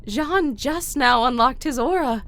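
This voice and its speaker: Woman acting a composed young heroine, highish voice. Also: animated, measured pace, gravelly, fairly bright tone, heavily acted. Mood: composed, narrating. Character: composed, caring teenage girl warrior telling her story. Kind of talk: first-person scripted narration and dialogue.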